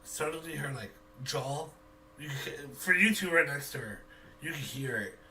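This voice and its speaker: A man with a bright, forward voice runs a tabletop game. He narrates haltingly, working it out as he speaks. He sits back from the mic with faint noise behind him. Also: on the high side, expressive, distant mic, low-quality recording. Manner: halting, thinking aloud. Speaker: game master.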